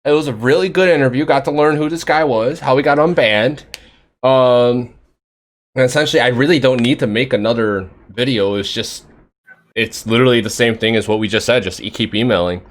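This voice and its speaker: A man's voice: medium pitch, brisk. A man hosting a casual live-stream interview, laughing often and talking to his chat. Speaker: interview host.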